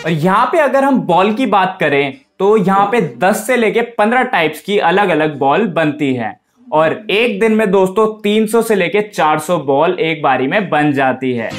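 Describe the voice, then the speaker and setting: A young man narrates a vlog tour. His voice sits somewhat high for a man, and he addresses viewers as friends. Faint music plays behind him. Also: lively intonation, unhurried. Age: young adult. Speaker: vlog host.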